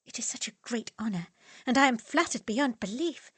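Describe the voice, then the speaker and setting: A woman's voice: a little high, animated, normal speed, fairly bright tone, husky, no echo. A woman reading a heartfelt letter in the voice of an earnest young English woman. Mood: eager.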